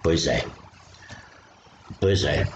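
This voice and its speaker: A man's voice: extremely low pitch, extremely slow. A man from Portugal with a European Portuguese accent, giving a spontaneous spiritual talk, emphatic and repetitive, often restarting phrases. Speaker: spiritual preacher. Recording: echoey room with faint noise.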